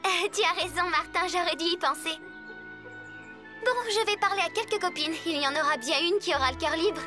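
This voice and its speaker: A woman voicing a clever, bossy schoolgirl in a cartoon, brisk, persuasive and sure of herself. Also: extremely high pitch, music in the background. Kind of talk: animated cartoon dubbing.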